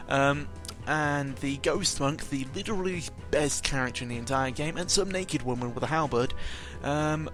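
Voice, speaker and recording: A man giving a casual, jokey audio log about video games, rambling and interrupting himself with exaggerated emphasis. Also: medium pitch. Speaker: video game vlogger. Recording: music playing behind the voice. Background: clear background game music.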